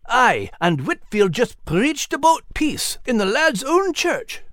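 A woman's voice: fairly high pitch, sing-song, takes it slowly, fairly bright tone, dry recording, studio quality, voice pushed up, exaggerated voice. A woman voicing an earnest male animal character in a children's story. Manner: folksy, plain-spoken.

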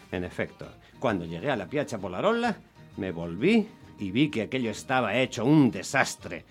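An actor playing a superstitious, aggrieved taxi driver who tells his story as an animated, complaining radio monologue. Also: fairly low pitch, moderate pace, faint music behind. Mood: wry storytelling. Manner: animated complaining, rhetorical questions to the listener. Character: aggrieved, superstitious male Roman taxi driver telling his bad day. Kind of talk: dramatic monologue in radio theatre.

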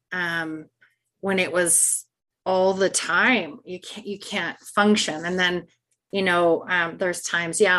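A woman's voice: lowish voice, pushed and bright. A woman in casual conversation, reflective and agreeable, who keeps affirming the others. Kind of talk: casual chat.

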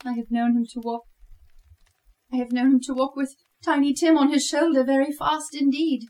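Woman as grieving mother, fairly high voice, tender, halting, patient wife, radio drama. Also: flat delivery, mellow, lightly acted, rough recording. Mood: wistful, sorrowful. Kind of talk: radio play acting.

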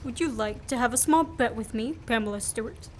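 A woman plays a wrongly accused man on the run in a radio play. The voice is fairly high, with dry, sardonic, clipped banter. There is some noise in the recording. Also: fairly quick, close to an everyday voice. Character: wry man on the run, falsely accused, quick-witted.

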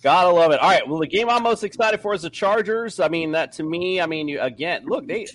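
A man's voice: high-pitched, lively intonation, fairly quick, a bit bright. A man hosting a casual sports podcast, upbeat, addressing each guest as "sir". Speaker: podcast host.

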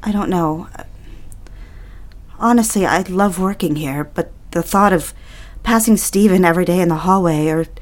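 A woman voices a young secretary in an audio drama, anxious and unsure of herself. She speaks softly and hesitantly, often trailing off. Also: on the low side, moderate pace, lightly acted. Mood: troubled. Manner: hesitant, troubled, soft.

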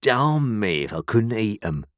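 A man voicing a brutal escaped convict in an audiobook, with a rough growl and threatening, rustic working-class speech. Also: lowish voice, big pitch swings, measured pace, no room sound, clean studio sound, pitched above the performer's own voice. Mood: menacing, greedy.

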